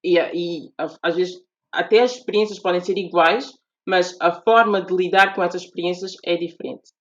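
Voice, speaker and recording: A woman's voice: on the low side, fairly even intonation, moderate pace. A Portuguese woman with a European Portuguese accent, a guest author explaining her views earnestly in a podcast interview, often restarting her sentences. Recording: dull lo-fi audio.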